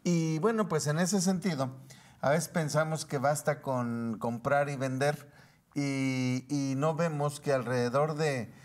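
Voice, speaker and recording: Mexican man explaining in measured detail. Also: fairly high pitch, steady tone. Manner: didactic, defines terms step by step. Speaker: tax law commentator on a panel show. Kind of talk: explanatory talk show discussion.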